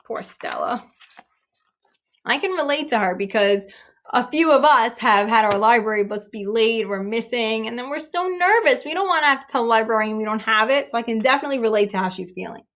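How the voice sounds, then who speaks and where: A woman's voice: fairly high pitch, expressive, fairly bright tone. A woman reading a children's story aloud expressively and emphatically, as if to young listeners. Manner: emphatic, acts out dialogue, pauses to address young listeners.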